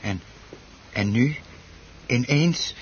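An actor playing an eager, proud and anxious hobby grower who stammers and repeats himself when excited, in a radio play. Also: male voice, medium pitch, very slow. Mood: taken aback. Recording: dull, slightly noisy old radio recording.

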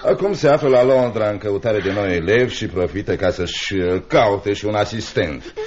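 Man acting deep, brusque miserly uncle. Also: a little mellow, lightly acted. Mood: scornful, commanding. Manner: brusque, domineering, sardonic. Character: stern, scornful, miserly uncle, a man of business. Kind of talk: Romanian radio theatre acting. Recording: dull, muffled old radio recording.

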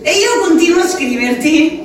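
Plaintive asylum inmate, radio play: high, sing-song letter monologue, heavily echoing room. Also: female voice, animated. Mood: sing-song, spiteful. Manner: deliberate, drawn-out, sing-song. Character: Gemma, plaintive asylum inmate, a stubborn country woman writing letters. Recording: echoey, distant, clearly noisy.

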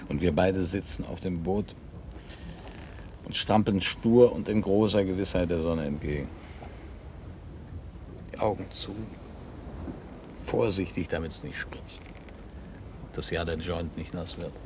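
A man with a very low voice in a radio play, reminiscing with dry irony. He prods his old friend with musing, provoking questions. A faint ambient noise sits behind him. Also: steady tone, measured pace, long pauses. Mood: wistful musing. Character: needling, nostalgic man teasing his old friend on a boat trip. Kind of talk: German radio drama acting.

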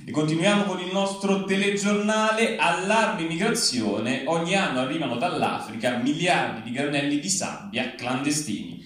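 A man's voice: a little high, expressive, normal speed, hardly any pauses, a bit bright. A man reading a satirical news bulletin live, with an emphatic newscaster delivery that drops into each punchline. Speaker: satirical newsreader on stage. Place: large echoey venue at a live reading event. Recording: very echoey hall, distant, faint noise.

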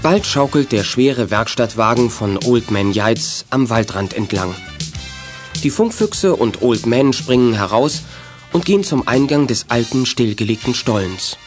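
A man's voice: medium pitch, lively intonation, unhurried, soft background music, lightly acted. A man narrating a children's audio drama in a scripted storytelling style.

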